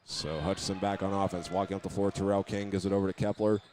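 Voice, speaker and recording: A man's voice: fairly even intonation, fast, a little mellow, a little hiss or hum. A man with an American accent giving live play-by-play radio commentary of a basketball game. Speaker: play-by-play announcer. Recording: clear gym ambience and ball bounces. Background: live basketball game in a high school gym.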